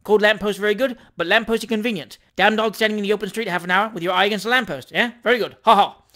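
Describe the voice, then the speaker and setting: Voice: medium pitch, steady tone, fast talker, sharp tone, close to an everyday voice. A narrator voicing a brash, thin young man who rattles off clipped, broken sentences with great volubility. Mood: loud, jovial. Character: brash, voluble, thin young gentleman stranger, breezy chancer.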